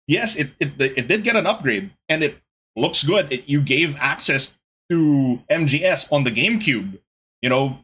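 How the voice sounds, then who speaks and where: A young man hosting a casual gaming podcast, talking to his chat in an animated, exasperated way. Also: a little high, husky. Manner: animated, exasperated ranting with laughs. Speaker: podcast host.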